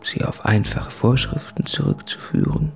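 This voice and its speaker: An actor playing a tormented, introspective man who confesses in a halting, hushed, husky and creaky voice in a literary radio play. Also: on the low side, wildly swooping pitch, soft background music, full character voice. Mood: brooding, deliberate.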